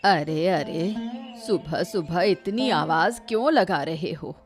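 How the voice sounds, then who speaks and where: A woman's voice: on the low side, slow, music in the background. A woman voicing a lazy, complaining elderly mother who scolds her grown son in a Hindi cartoon story. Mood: irritated, complaining. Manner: scolding, complaining, excuse-making.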